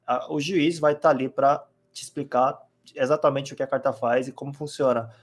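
A man chatting calmly on a podcast, explaining card game rules with many fillers. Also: mid-range voice, moderate pace. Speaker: card game player guest. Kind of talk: casual podcast chat.

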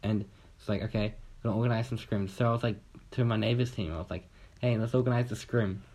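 A man's voice: fairly low pitch, steady tone, quick pace, darkish tone. A young man from Australia telling a long, rambling story in casual chat on a podcast, swearing casually and acting out what people said.

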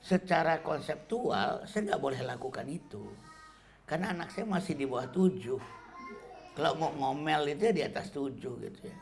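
A middle-aged man giving a relaxed, conversational talk on parenting, often tagging sentences with casual fillers. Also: on the high side, moderate pace, vocal fry. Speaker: parenting speaker.

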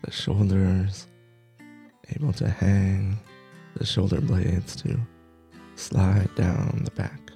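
A man leading a guided meditation, soft-spoken, in short halting phrases with long pauses. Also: low voice, level tone, creaky, soft background music. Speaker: meditation teacher.

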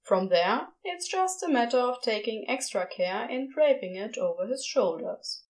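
High, lively woman narrator. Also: moderate pace. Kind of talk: scripted fiction narration.

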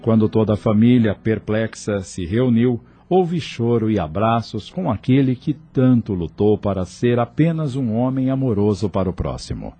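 Expressive low-voiced male narrator. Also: moderate pace, hardly any pauses, husky. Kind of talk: dramatic scripted radio drama narration.

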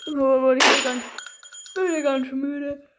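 A woman with a very high voice commentates on gameplay as she improvises it. She is excitable and slangy, speaking straight to her audience, with clear noise behind her. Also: slow pace, dull audio. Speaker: gaming narrator and player.

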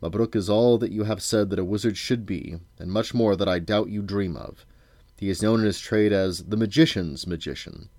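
A man voicing a grim, joyless old king in a storybook, speaking in a flat, curt, imperious manner. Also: on the low side, moderate pace, dry recording, lightly acted. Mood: cold.

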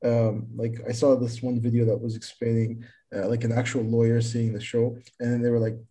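A man's voice: medium pitch, completely flat delivery, quick pace, mellow. A man in his twenties co-hosting a podcast, chatting casually and rambling, often repeating words as he starts sentences. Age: 20s. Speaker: podcast co-host. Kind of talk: casual chat.

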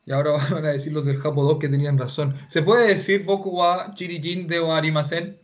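A man's voice: a little high, flat delivery, fairly quick, highs cut off. A man teaching a Japanese class online, explaining patiently and repeating himself with many restarts. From Chile.

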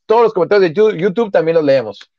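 Lo-fi, dry sound. Male co-host, Mexican accent, high-pitched, halting casual chat with a joking streak. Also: animated, no room sound.

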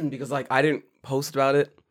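A young man co-hosting a casual podcast, his voice raspy and hoarse, laughing often as he chats. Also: medium pitch, normal speed. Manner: laughs often, mock voices when joking. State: hoarse from karaoke. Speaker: podcast co-host. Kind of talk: casual chat.

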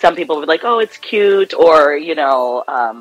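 A woman's voice: low voice, lively intonation, brisk, dry recording, lo-fi sound, soft background music. A woman from Los Angeles with an American accent, a clinical psychologist chatting as a radio guest and laughing easily. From Los Angeles, United States. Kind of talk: casual radio interview.

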